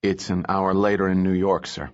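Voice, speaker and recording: A persistent woman police captain presses with short, businesslike questions, read by a man in his own low voice. The delivery stays even and clipped. The audio is a bit dull and lo-fi. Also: normal speed, natural voice. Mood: polite.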